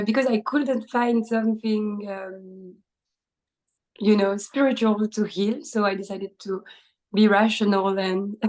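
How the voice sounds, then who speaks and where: A young woman with a French accent talks in a mellow, dark-toned voice that stays on a flat, even pitch. She answers questions casually, pausing often on fillers and laughing lightly between thoughts. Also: low-quality recording. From France. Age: 20s. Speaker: podcast guest. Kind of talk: casual interview conversation.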